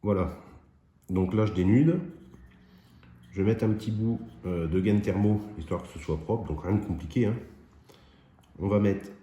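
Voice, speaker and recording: A man's voice: lowish voice, normal speed, long pauses, dark tone, lo-fi sound, a little hiss or hum. A man hosting a hobby DIY video, chatting informally and thinking aloud as he demonstrates by hand. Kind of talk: casual hands-on demonstration.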